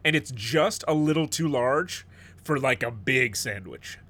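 A man's voice: medium pitch, lively intonation, measured pace, fairly bright tone. A middle-aged man hosting a podcast in casual chat, a bit stuffed up and sniffly, rambling and joking. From United States. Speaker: podcast host.

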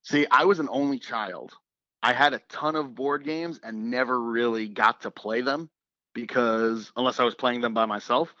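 A man with a slightly raspy voice, a radio producer chatting casually as a guest, relaxed and joking with fillers and restarts. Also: mid-range voice, moderate pace, dry recording, highs cut off. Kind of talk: casual interview chat.

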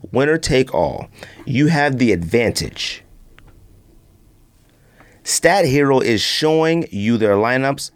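A man hosting a podcast, doing a casual, slangy ad read with off-the-cuff hype and repeated pitches to the listener. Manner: slangy, often addressing the listener as B or fam. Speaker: podcast host.

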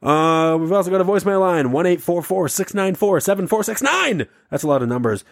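A man's voice: high voice, lively intonation, a little fast, gravelly, no room sound. Male comedy podcast co-host, rambling and silly, dry close mic. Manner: rambling, goofy, laughs often. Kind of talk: casual comedy podcast banter.

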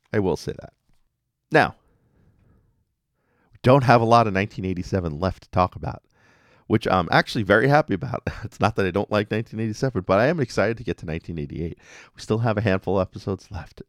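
Deep-voiced, animated male podcast host. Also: gravelly, no room sound, clean studio sound. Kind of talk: casual solo fan commentary.

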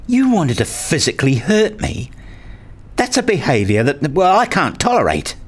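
A man's voice: a little high, wildly swooping pitch, normal speed, breathy edge, exaggerated voice. A man playing a weary, exasperated husband and father in a radio play, narrating and reasoning in a placating way. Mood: defensive, tired. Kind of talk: radio play dialogue and first-person narration.

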